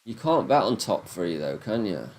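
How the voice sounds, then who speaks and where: A man with a Nottingham accent chatting live with viewers, swearing and ranting in a casual, animated way. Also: fairly low pitch, normal speed. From Nottingham, England. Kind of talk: casual live-stream chat.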